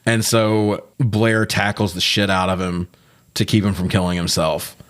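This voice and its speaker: A man with a fairly low-pitched voice co-hosts a casual movie podcast. He tells the story loosely with jokes and plenty of profanity, getting worked up when something riles him. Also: unhurried. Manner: profane, jokey, animated when riled. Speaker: podcast co-host. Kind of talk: casual movie recap chat.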